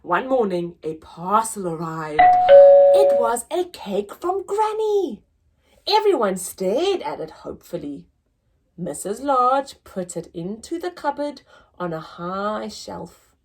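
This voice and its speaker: A woman's voice: mid-range voice, big pitch swings, slow. A woman reading a children's story aloud as a story-time teacher, dramatic and addressed to young listeners. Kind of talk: expressive children's read-aloud.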